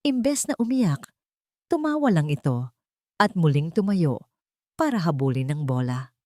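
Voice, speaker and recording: A woman with a slightly low voice reads a romantic tale as a storyteller. Her delivery is sentimental and dramatic, and the sound is dry and close. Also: sing-song, measured pace, dark tone, dry recording. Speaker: story narrator. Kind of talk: scripted romantic story narration.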